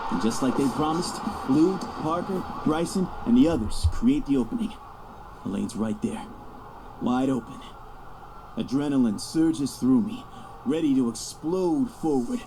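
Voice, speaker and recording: A man's voice: medium pitch, expressive, unhurried, warm tone, a little hiss or hum, low-quality recording. An actor playing a young star quarterback, cocky yet anxious, narrating his own story in an audio drama. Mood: determined.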